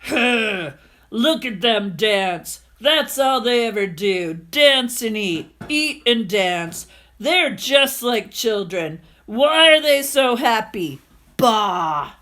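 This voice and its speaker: A woman's voice: a little high, lively intonation, fairly bright tone. A woman voicing a mean, miserly old landlord in a children's storybook, grumbling and scornful. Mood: grumbling envy. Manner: grumbling, scornful, drawn-out exclamations. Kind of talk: children's storybook read-aloud.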